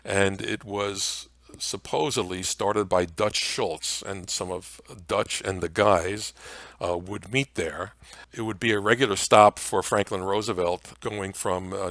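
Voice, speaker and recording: An American man presenting an informal history lecture, explaining in an anecdotal way with halting phrasing. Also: low voice, gravelly, a bit bright, no echo. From Mount Vernon area, New York, United States. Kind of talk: informal slide lecture on old-time radio history.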